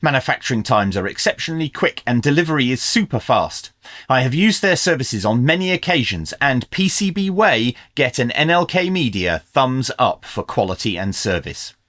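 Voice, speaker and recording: Man narrating with lively intonation. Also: mid-range voice, normal speed, no echo, studio quality. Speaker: presenter of a radio review video channel. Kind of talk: scripted review narration.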